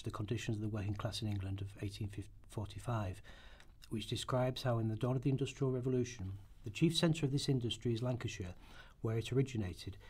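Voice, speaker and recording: A man reading scripted documentary narration for a radio play rehearsal, in a measured way with occasional stumbles. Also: mid-range voice, darkish tone, husky.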